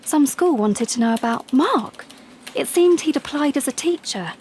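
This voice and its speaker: A woman's voice: sits high, lively intonation, moderate pace, a little mellow, slight background noise. An actress playing an anxious, well-meaning young woman in an audio drama, speaking in an earnest, hesitant way. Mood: tense, confiding. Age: young adult. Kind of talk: audio drama monologue and dialogue.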